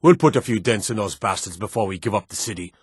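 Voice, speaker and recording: A man playing a stern, battle-hardened military officer in a fantasy video game, brisk, commanding and gruffly matter-of-fact as he gives orders. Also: on the low side, lively intonation, no echo, clean studio sound. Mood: grim resolve.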